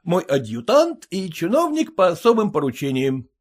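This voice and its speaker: A man's voice: sits high, wildly swooping pitch, moderate pace, pitched above the performer's own voice, heavily acted. A man doing a boisterous newly rich host. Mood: hearty. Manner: boisterous, hearty.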